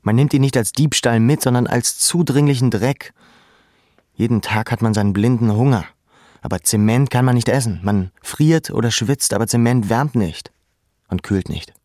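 A man reading scripted literary prose as a narrator, in a measured, deliberate, sombre manner. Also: mid-range voice, darkish tone, dry recording, studio quality.

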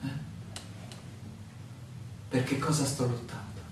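A man's voice: medium pitch, slow, close to an everyday voice. Echoey, distant, weary man acted pleading for answers. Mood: weary, disillusioned. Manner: theatrical, searching, full of questions. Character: weary, bewildered male land surveyor pleading for answers. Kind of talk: Italian stage-style radio drama acting. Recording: very echoey, distant, clearly noisy room recording.

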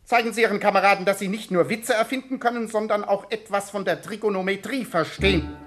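A man's voice: sits high, steady tone, quick pace, fairly bright tone, faint music behind. An actor playing a strict male schoolteacher in a comedic radio play, commanding, clipped and sarcastic in manner. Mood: sardonic, demanding. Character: strict, barking male gym and mathematics schoolteacher.

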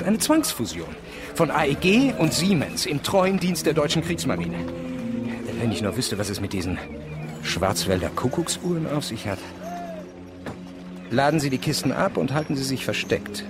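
A man's voice: mid-range voice, very animated, normal speed, music in the background, natural voice. A man playing a scornful, swaggering adventurer in a radio drama, speaking in a theatrical, mocking manner. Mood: suspicious. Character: scornful, swaggering male adventurer out to expose rivals.

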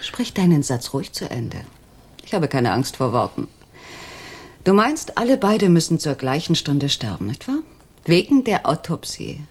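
A determined woman in her thirties, lover and partner in crime in a radio thriller. Her voice is husky and a little low. She speaks with intimate intensity and very animated intonation. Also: moderate pace, full character voice. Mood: coolly conspiratorial. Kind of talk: German radio crime drama acting.

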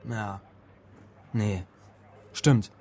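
An actor playing an earnest, eager young police investigator in a naturalistic radio drama. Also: male voice, on the low side, drawn-out words, darkish tone, a little hiss or hum. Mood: thoughtful, speculating. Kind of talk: naturalistic radio play dialogue.

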